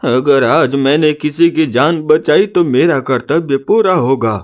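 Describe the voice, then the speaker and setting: Man playing a slow, noble heroic friend. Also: on the high side, faint music behind, natural voice. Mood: selfless, resolute. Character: noble, self-sacrificing male friend, brave and urgent. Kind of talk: dramatic cartoon story acting.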